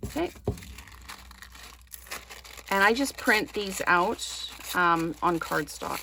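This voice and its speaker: Gravelly-voiced woman thinking aloud while crafting. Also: mid-range voice, expressive, takes it slowly, bright, forceful tone, slight background noise. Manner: apologetic, chatty, thinks aloud with many ums. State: slightly hoarse, losing her voice. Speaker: crafting channel host.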